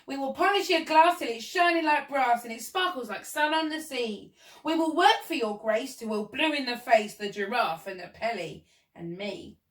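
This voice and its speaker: A woman's voice: mid-range voice, a little slow, a bit bright, close to an everyday voice. A woman voicing a bouncy, cheeky monkey in a storybook, exclaiming in an exuberant singsong. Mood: proud singsong. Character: excitable female monkey window cleaner, bouncy and cheeky. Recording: roomy echo, distant from the mic.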